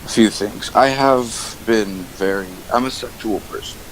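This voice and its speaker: A young man in halting, defensive conversation, repeating himself and trailing off, on an archived voice call. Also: fairly low pitch, faint music behind, poor audio.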